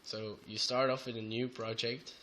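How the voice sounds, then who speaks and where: A young man with a Belgian Dutch accent who is a bit sick, talking haltingly through a coding tutorial with many fillers. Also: mid-range voice, little pitch movement, sharp tone, a little hiss or hum, rough recording. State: sick, voice sounds off. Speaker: programming tutor. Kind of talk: screen-recorded coding tutorial. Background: keyboard typing and clicks.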